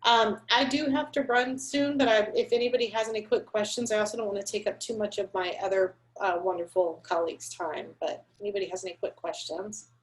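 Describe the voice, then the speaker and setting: A woman's voice: medium pitch, fairly even intonation, a little fast, few pauses, low-quality recording. Friendly woman admissions counselor on a call. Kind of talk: webinar presentation. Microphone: video call.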